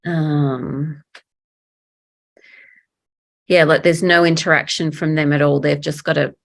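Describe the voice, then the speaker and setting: Low-voiced woman on a call, halting, lots of ums, kinda unsure. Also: steady tone, creaky. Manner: hesitant, halting, many fillers. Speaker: workshop participant. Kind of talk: hesitant sharing. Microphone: video call.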